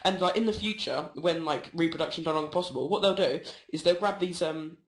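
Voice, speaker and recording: A man's voice: fairly high pitch, a little fast. A young man telling a rambling, absurd comedy story full of fillers and giggles. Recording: echoey room.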